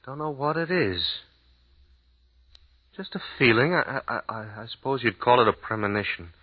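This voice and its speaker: An actor playing an uneasy American writer, who talks in a halting, hesitant way. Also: male voice, medium pitch, expressive, natural voice. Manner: halting, hesitant, repeats words. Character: uneasy American writer husband, adult man. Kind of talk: old-time radio drama acting. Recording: dull lo-fi old radio recording.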